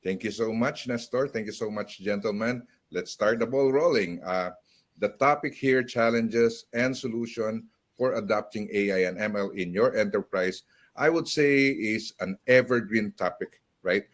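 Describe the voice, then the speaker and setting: A man moderates a panel, handing off the floor and asking questions politely. His voice is a bit low. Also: normal speed.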